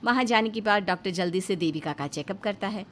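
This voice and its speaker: A woman's voice: medium pitch, fairly quick, a little hiss or hum. Woman narrating a moral story.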